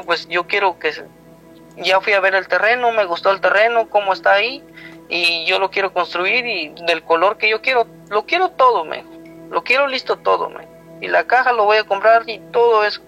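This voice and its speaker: A Guatemalan man with a high, bright voice tells a personal story in a rambling, conversational way. He keeps quoting who said what, over clearly audible background music on a slightly dull recording. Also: a little fast. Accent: Guatemalan Spanish. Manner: rambling, retells dialogue with frequent "he told me" tags. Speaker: guest telling a personal story.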